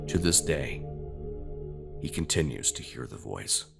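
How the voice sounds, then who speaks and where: A man's voice: a bass voice, animated. A man reading a scripted horror story in an ominous, suspenseful manner, at times sinking toward a whisper. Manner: slow, ominous, suspenseful, dropping into breathy near-whispers. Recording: loud music under the voice. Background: music.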